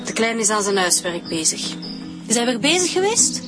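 An actress playing a sharp-tongued single mother, the suspect's ex-wife, with a Flemish accent in a radio drama. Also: on the high side, very animated, normal speed, music in the background. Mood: scornful. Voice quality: curt, scornful.

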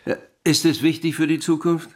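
A man's voice: on the high side, flat delivery, moderate pace, darkish tone, close to an everyday voice. A man playing a gloomy, polite and curious companion in a radio play dialogue. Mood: wry, curious.

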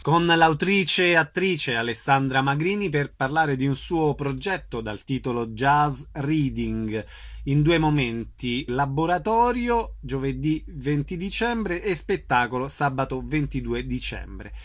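Male radio presenter, medium pitch, interview and announcement style, structured delivery. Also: animated, measured pace, fairly bright tone. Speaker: radio host.

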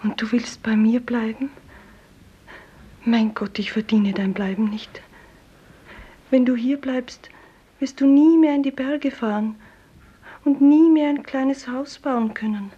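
An actress playing a drowned young beloved, tender and humble, in a solemn 1950s German radio play, half-whispering. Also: female voice, on the high side, breathy edge. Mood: sorrowful, devoted. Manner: solemn, poetic, slow. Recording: dull 1950s radio recording.

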